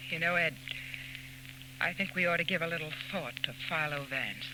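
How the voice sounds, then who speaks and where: A woman's voice: sits low, moderate pace, close to an everyday voice. An actress playing a composed, condescending society woman who secretly runs robberies, in 1940s radio style. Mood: calculating. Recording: scratchy 1940s radio recording with hum.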